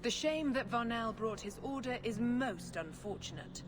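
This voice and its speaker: An actress voicing a scheming, zealous priestess in a video game, with a formal, controlled and sanctimonious manner. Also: female voice, fairly high pitch, expressive, normal speed, close to an everyday voice. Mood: cold, smug. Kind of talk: dramatic video game acting. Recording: loud ambient noise behind.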